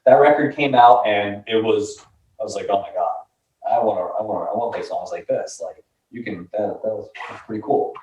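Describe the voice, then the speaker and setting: A man's voice: lowish voice, fairly quick, a little mellow, a little hiss or hum. A man in his late thirties telling stories in a laid-back, rambling way with lots of fillers, recorded off-mic in a room. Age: 30s. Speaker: band member. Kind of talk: casual chat. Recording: roomy, distant, dull mic.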